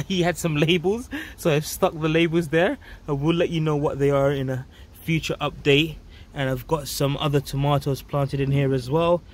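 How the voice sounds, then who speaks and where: A man in his thirties giving a casual, enthusiastic update to viewers, speaking emphatically into a handheld phone outdoors. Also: a little high. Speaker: vlog host, allotment gardener. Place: outdoors on an allotment garden. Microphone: handheld phone at close range. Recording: outdoor ambient and traffic noise. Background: outdoor ambience, vehicle noise.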